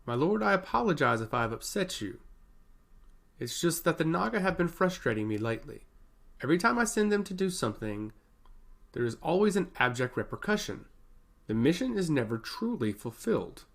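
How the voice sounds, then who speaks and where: A man's voice: a little high, very animated, a little fast, vocal fry, fairly bright tone, voice pushed up, full character voice. A man voicing a coldly pragmatic, dutiful commander in a fantasy audiobook, solemn, formal and deferential to his lord. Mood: frustrated apology.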